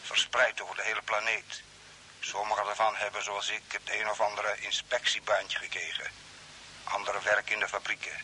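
A man acting in a radio play as a mysterious, calmly insistent Earthman stranded on Mars, speaking in a measured, formal way. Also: fairly low pitch, low-quality recording. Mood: matter-of-fact. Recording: hissy old radio broadcast, faint noise.

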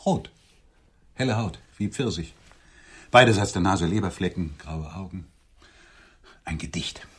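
A man's voice: sits low, expressive, unhurried, a bit bright, slightly rough, heavily acted. An actor playing a lonely middle-aged man on a blind date in a radio drama, formal and flowery, touchy and wistful. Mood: wistful, dreamy. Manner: formal, flowery, theatrical phrasing.

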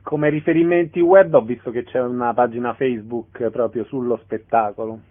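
A man's voice: medium pitch, steady tone, normal speed. A man hosting a casual radio interview, putting questions to his guests. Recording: narrow phone-like radio sound, faint noise.

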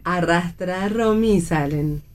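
A woman's voice: on the low side, measured pace. A woman narrator reading the stage directions of a play in scripted narration.

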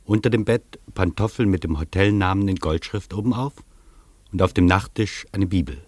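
A reflective traveller telling his own story in a literary radio drama. His voice sits fairly low and is recorded dry and close. The delivery is sober and measured. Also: dry recording. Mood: matter-of-fact. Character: reflective male traveller recounting his stay in postwar Tokyo. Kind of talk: scripted literary radio play narration.

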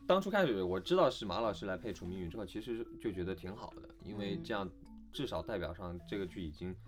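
A man's voice: deep voice, lively intonation, fast, over music. A man talking casually as a voice actor guest in a conversation, emphatic and often repeating short phrases for stress. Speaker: voice actor guest on an audio drama chat. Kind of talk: casual chat.

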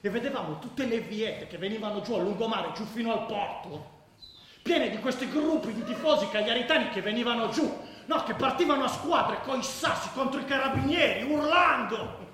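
An actor playing an excitable football ultra in a radio drama, recounting an away trip in vivid, emphatic, colloquial speech. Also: male voice, extremely high pitch, normal speed. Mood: excited recounting. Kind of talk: theatrical radio drama storytelling. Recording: very echoey, noisy with clicks.

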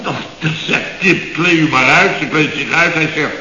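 An actor playing a grumbling, exasperated middle-aged contractor who rants sarcastically in clipped half-sentences in a radio comedy. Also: male voice, moderate pace, echoey room, in a large hall, crowd noise, natural voice. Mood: bitterly sarcastic. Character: grumbling, exasperated male building contractor, long-winded storyteller. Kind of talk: 1970s radio comedy acting. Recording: boomy, muffled old radio recording.

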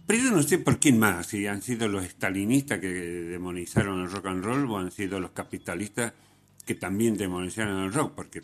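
A man with a low-pitched voice and an Argentine accent presents music on the radio. He comments casually, rambling along and circling back over his words. His delivery is halting, often checking in with short tag questions. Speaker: radio host.

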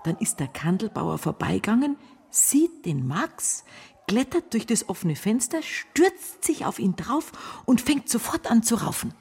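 An actress playing a secretive small-town wife in a radio drama, with a Bavarian accent and a hushed, hesitant, husky delivery. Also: female voice, on the high side, very animated, measured pace, full character voice. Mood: gossipy, confiding. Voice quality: husky, breathy.

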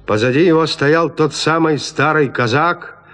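A man's voice: a little high, unhurried, fairly bright tone. A man narrating a literary story as the scripted narrator of an audio drama.

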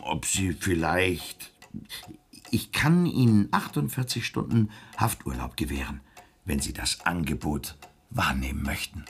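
An actor playing a jovial, self-satisfied prison director in a radio drama, speaking haltingly and chattily. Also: male voice, low voice, lively intonation, creaky, breathy edge, exaggerated voice. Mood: hesitant, coaxing. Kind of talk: German radio drama acting.

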